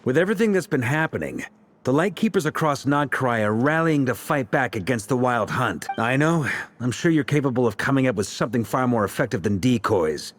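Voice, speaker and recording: A man voicing an assured, easygoing older warrior leader in a video game, talking in a relaxed, informal way. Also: medium pitch, fairly quick, slight background noise, lightly acted.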